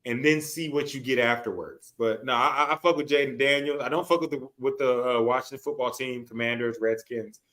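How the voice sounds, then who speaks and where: A man's voice: medium pitch, fairly even intonation, a little fast, hardly any pauses, fairly bright tone. A man hosting a sports podcast in casual chat, talking in a slangy, profane way.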